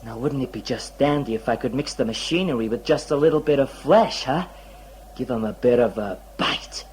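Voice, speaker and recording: A man's voice: medium pitch, moderate pace, darkish tone, a little hiss or hum, natural voice. An actor playing a smug, gleefully sinister male inventor with folksy, sugary talk in an audio drama. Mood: gleeful menace.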